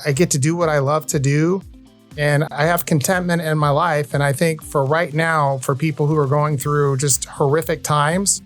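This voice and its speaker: A man's voice: on the high side, steady tone, normal speed, soft background music. A man in his fifties hosting a podcast, talking earnestly and at length in a conversational interview style. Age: 50s. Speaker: podcast host.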